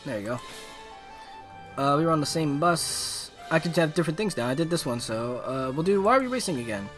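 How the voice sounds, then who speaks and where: Excitable guy streamer, bright voice, swingy pitch, slangy game commentary w music behind. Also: a little fast. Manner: excitable, casual slangy talk. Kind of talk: live gaming commentary mixed with reading game text aloud.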